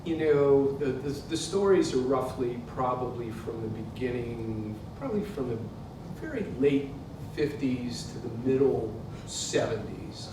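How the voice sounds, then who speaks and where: A man's voice: lively intonation, unhurried. A man in his sixties with an American accent, giving a literary reading to a live audience. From Pittsburgh, United States. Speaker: author reading from his book. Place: indoor museum event space. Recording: very echoey hall, distant mic, noisy rumble.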